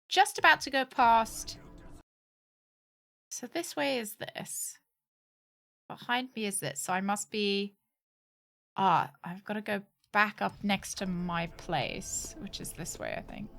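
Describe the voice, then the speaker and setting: A woman's voice: medium pitch, takes it slowly, creaky, pauses often, fairly bright tone. A woman streamer with a congested, snotty voice, chatting and apologizing cheerfully while she plays a game. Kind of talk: casual gameplay commentary.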